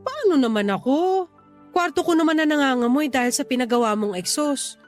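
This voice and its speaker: A young Filipino woman living next door in a radio drama. Her voice sits high, and she is considerate but stands her ground. Soft music runs underneath. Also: expressive, fairly quick. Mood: insistent, exasperated. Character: Celia, considerate but insistent female neighbour with complaints.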